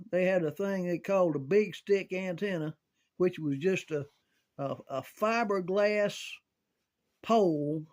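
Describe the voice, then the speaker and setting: Older man, high voice, very unhurried, folksy, halting, casual rambling storytelling. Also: darkish tone. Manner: halting, folksy, thinking aloud. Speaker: amateur storyteller reminiscing about CB radio.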